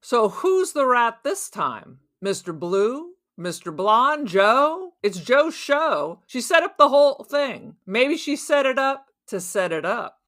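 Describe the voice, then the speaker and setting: Crime audio drama: actress to play nervy, suspicious woman robber, sarcastic and slangy, sharp bright delivery, higher register. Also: very animated, slow pace, few pauses, heavily acted. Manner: sarcastic, argumentative, streetwise slangy talk. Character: nervy, suspicious, cynical female robber on the run.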